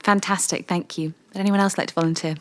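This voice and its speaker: A woman and native English speaker facilitating a workshop, warmly inviting volunteers from the room. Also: lowish voice, steady tone, fast. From English-speaking country.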